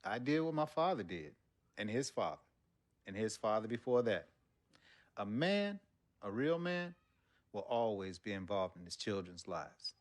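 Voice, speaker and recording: A loving, firm American father in middle age for a game cutscene. His pitch swings in an animated way. He is emphatic, folksy and jovial. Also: measured pace, long pauses. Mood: proud, earnest. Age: middle-aged. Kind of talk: naturalistic game cutscene dialogue.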